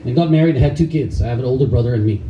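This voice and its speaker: A man's voice: medium pitch, rapid-fire, dull audio, noisy background. A man raised in Denmark, a karate instructor talking loosely to a group, full of fillers and restarts. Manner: loose, rambling delivery with frequent fillers and restarts. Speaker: karate instructor speaking at a camp. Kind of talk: talk to a seated group. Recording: roomy hall sound from a distance.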